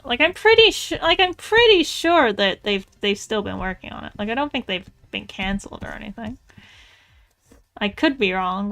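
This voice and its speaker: A woman artist chatting casually while she draws, thinking out loud in a rambling, tentative way. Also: medium pitch, clean tone. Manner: rambling, musing aloud, tentative. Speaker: artist streamer. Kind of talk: casual chat while drawing. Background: music.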